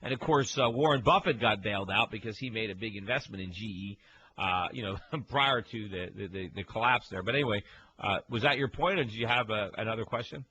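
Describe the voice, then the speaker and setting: A man hosting a call-in radio show from a home studio, talking in a quick, chatty way with many fillers and repeats. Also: lowish voice, sharp tone, a little hiss or hum, low-quality recording. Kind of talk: casual call-in talk radio.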